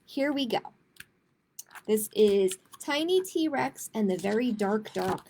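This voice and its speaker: A woman children's librarian reading a picture book aloud expressively, with gentle, encouraging chatty asides to young listeners. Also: medium pitch, animated, takes it slowly, slight background noise.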